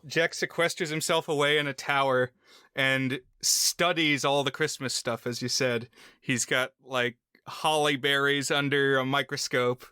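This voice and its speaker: A man's voice: medium pitch, fairly even intonation, a little slow, sharp tone, smooth, no echo. A man co-hosting a film podcast, recapping the plot with wry, dry humor. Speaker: podcast co-host. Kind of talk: casual chat, recapping a film.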